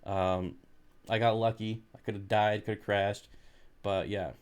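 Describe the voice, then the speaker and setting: A man's voice: on the low side, little pitch movement, unhurried, clean tone. An American man hosting a casual livestream, talking in a laid-back, jokey way as he reads and answers comments. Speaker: livestream host reading and answering viewer comments.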